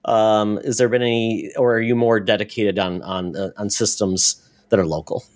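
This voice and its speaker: A man's voice: lowish voice, fairly even intonation, moderate pace. A man speaking as a podcast host in a casual, chatty style. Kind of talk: casual podcast chat with sponsor read.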